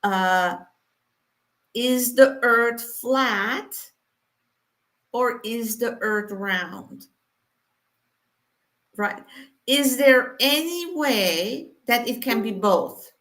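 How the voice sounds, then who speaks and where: A woman's voice: fairly high pitch, animated, fairly bright tone. A woman born in Iran hosting her weekly inspirational live stream, talking to viewers casually with many fillers. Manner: unhurried, many fillers, speaks straight to viewers.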